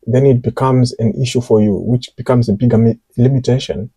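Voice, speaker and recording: Mellow, husky male podcast host. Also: medium pitch, steady tone, fairly quick, no echo. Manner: hesitant, with fillers and repeated words. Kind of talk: casual interview questions.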